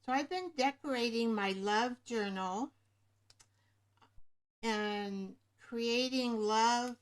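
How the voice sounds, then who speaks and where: A woman chats through a craft livestream in a slightly higher voice, taking her time and often pausing to find the right word. She speaks slowly and casually at her desk at home. Her voice is picked up by a webcam microphone above her screen. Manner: rambling, searches for words.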